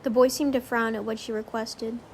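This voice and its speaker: Woman reading a story, pretty creaky voice, scripted narration, slight noise in the recording. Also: fairly high pitch, fairly even intonation, a little fast.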